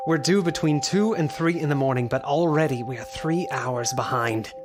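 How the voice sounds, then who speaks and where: An actor playing a sober diarist, giving measured, serious narration in an audio drama. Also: male voice, fairly high pitch, music in the background, close to an everyday voice. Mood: tense, reporting. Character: sober male diarist narrating a gothic pursuit.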